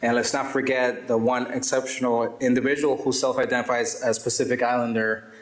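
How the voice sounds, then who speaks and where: A man's voice: medium pitch, level tone, fairly quick. Male event presenter, mid-range voice, formal scripted address, live roomy acoustics. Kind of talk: scripted speech with small ad-libs. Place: event room with audible echo. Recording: echoey live event room.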